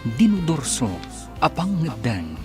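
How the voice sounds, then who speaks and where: Male Filipino announcer, Ilocano accent, lively scripted radio drama narration with music behind. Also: medium pitch, a little slow. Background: loud guitar music.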